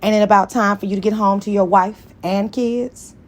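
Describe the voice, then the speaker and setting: A woman's voice: medium pitch, fairly even intonation, moderate pace, close to an everyday voice. Smug, dismissive mistress, voiced by a woman reader. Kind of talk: dramatized audiobook dialogue.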